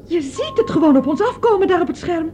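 A woman's voice: extremely high pitch, animated, quick pace, warm tone. An actress playing an anxious young woman fleeing through space in a Dutch radio drama, worried and full of questions. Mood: alarmed. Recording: dull, noisy old radio play.

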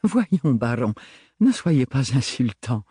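A man's voice: mid-range voice, very animated, takes it slowly, dark tone, husky, no room sound, studio quality, full character voice. A man voicing an elegant, haughty widow with a silky, condescending manner. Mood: amused.

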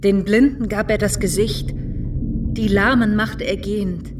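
An actress playing a devout, grieving woman who recites religious verse in a pleading, deliberate way in a radio drama. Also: medium pitch, steady tone, distant mic, background noise, close to an everyday voice. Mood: earnest.

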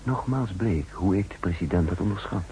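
A man playing a thoughtful double agent in measured, reflective speech for an old radio play. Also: low voice, warm tone, slightly rough, rough recording. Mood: subdued, uncertain. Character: thoughtful, troubled male double agent narrating his story. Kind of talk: 1960s Dutch radio play acting and narration.

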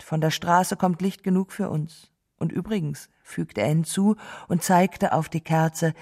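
A woman reading literary narration and quoted dialogue for a radio drama in a measured, deliberate way. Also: lowish voice, gravelly, mellow.

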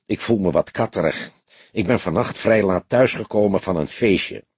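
A man's voice: sits low, normal speed, darkish tone, husky, no echo. A man voicing a grumpy, harried older police photographer who grumbles, in an audiobook. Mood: apologetic.

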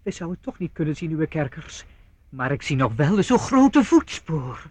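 An actor playing a nervous young man with an Italian accent in a radio play, courting in halting, dramatic speech. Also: a little high, sing-song, a little mellow, breathy edge, no room sound. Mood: courteous, wooing. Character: nervous, jealous young Italian suitor, reluctant hero.

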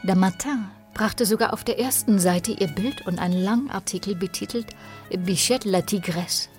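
A woman's voice: medium pitch, normal speed, darkish tone, faint music behind, natural voice. A woman narrating a scripted radio drama.

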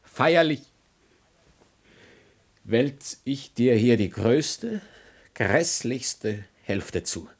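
Ein Schauspieler spielt in einem klassischen deutschen Hörspiel einen gequälten, verbitterten jungen Adligen im Sterben, stockend und theatralisch deklamierend. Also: male voice, mid-range voice, expressive, creaky, heavily acted. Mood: bitter reproach. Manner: halting, theatrical declamation. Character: anguished young nobleman, bitter, poisoned and dying. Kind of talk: German classical radio drama.

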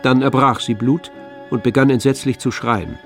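A male narrator reads literary prose for a radio drama. His delivery is measured and grave, his mid-pitched voice clearly creaky. Faint music runs behind him. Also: steady tone.

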